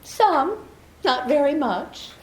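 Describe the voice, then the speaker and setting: A woman's voice: high voice, sing-song, slow pace, darkish tone, exaggerated voice. A woman playing a beguiling, deceitful young woman in trouble in a 1940s-style radio play, coy and pleading. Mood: confessing. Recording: echoey room.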